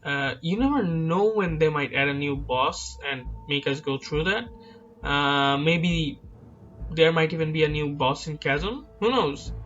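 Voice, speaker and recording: A man's voice: on the high side, measured pace, a bit bright, highs cut off. A man giving casual, self-deprecating speculation about a video game, hedging often with filler words. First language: not Chinese. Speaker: gaming YouTuber. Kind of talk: speculative game commentary over slides. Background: background music.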